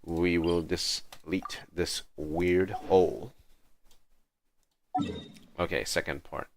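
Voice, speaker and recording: A man streaming a game, thinking aloud in low, slow mutters as he plays. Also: steady tone, slight background noise. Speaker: livestreamer playing a game. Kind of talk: quiet thinking-aloud gameplay commentary. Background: game music.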